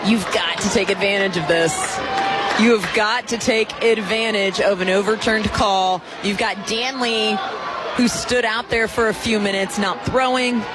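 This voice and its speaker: A woman giving live color commentary as the analyst on a radio softball broadcast. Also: medium pitch, steady tone, a little slow, heavy background noise. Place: outdoor softball stadium. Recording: crowd roar and loud music behind. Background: loud crowd and music.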